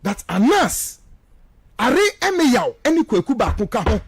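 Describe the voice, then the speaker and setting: A man's voice: very high-pitched, sing-song, unhurried, vocal fry, breathy edge, dry recording, studio quality. A Ghanaian man, a native Twi speaker, giving emphatic, accusatory political radio commentary in a declamatory way. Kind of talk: political talk radio commentary, mixing local language and English.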